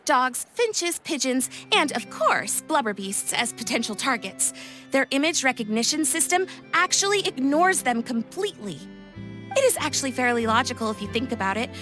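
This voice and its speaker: A woman's voice: high voice, sharp tone, exaggerated voice. A woman playing a confident, enthusiastic young inventor in a video game, explaining things in a bubbly, persuasive, theatrical way. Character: Lapine Pauline, confident, enthusiastic young female tech inventor.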